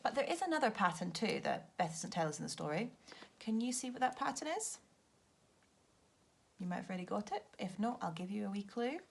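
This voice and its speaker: A woman's voice: mid-range voice, sing-song, a little fast, creaky, husky. A woman primary school teacher explaining a task to children, often asking simple questions to check they follow. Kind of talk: read-aloud lesson explaining a task to children.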